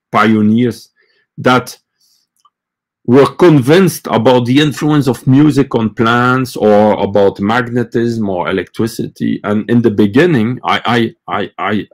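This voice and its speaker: Slow, gravelly Belgian man with many fillers. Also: mid-range voice. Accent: Flemish Belgian. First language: French. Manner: hesitant, many fillers and restarts. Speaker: host of a live stream on gardening. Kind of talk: casual live stream talk. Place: small room with bookshelves, indoors.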